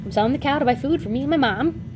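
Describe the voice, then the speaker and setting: A woman's voice: high-pitched, racing, background noise, pitched above the performer's own voice, lightly acted. A woman voicing Jack, an eager and gullible young farm boy who exclaims in casual modern slang, in an amateur fairy tale telling. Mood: eager, excited.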